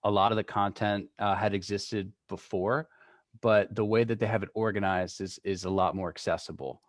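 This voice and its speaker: Low-voiced man in a casual online meeting. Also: fairly even intonation, normal speed, no echo, studio quality. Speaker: real estate trainer co-leading a meeting.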